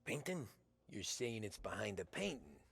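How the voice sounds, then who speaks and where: An actor playing a young gangster who talks bluntly and impatiently in a game cutscene. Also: male voice, lowish voice, sing-song, normal speed, gravelly, fairly bright tone, full character voice. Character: young mob driver turned gangster, brash. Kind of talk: video game cutscene voice acting.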